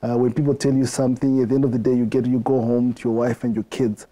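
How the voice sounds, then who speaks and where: A middle-aged man with a Zimbabwean accent, answering interview questions in a reflective way, often halting and repeating words. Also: mid-range voice, little pitch movement, mellow, rough recording. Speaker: interview guest.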